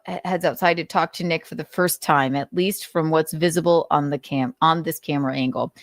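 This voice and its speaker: A woman's voice: on the low side, no echo, clean studio sound. A woman hosting an informal dramatic reading of notes, with amused, sardonic asides. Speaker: host reading notes aloud.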